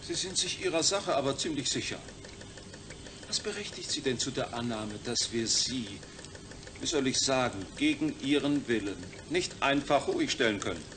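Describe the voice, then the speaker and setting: A man acts a menacing official in a science-fiction radio play. His voice sits in a middle range. He is measured and condescending, with a cool threat in his manner. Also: mid-range voice, expressive, natural voice. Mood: coolly threatening. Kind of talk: German science-fiction radio drama acting.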